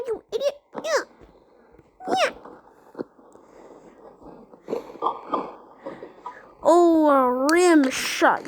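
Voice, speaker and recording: A performer voicing excitable, bickering schoolkids at play in improvised dialogue, with casual, teasing slang and exclamations. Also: very high-pitched, exaggerated voice. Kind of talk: playful improvised character dialogue.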